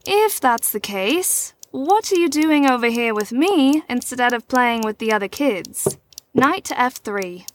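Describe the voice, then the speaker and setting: Audio drama mentor: woman with a notably high voice, formal and measured, poised and gently probing. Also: lively intonation, a little hiss or hum. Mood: probing, lecturing. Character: poised, probing female mentor and chess player. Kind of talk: scripted audio drama acting.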